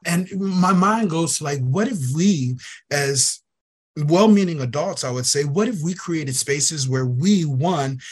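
Earnest man with a raised pitch. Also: a little slow, breathy edge. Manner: earnest, emphatic, repeats phrases for stress. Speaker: guest, youth program director. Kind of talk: conversational interview.

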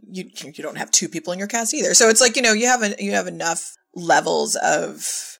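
A woman's voice: on the low side, fairly quick, vocal fry, fairly bright tone. A woman talking casually about her directing work as a podcast guest. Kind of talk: casual conversational discussion.

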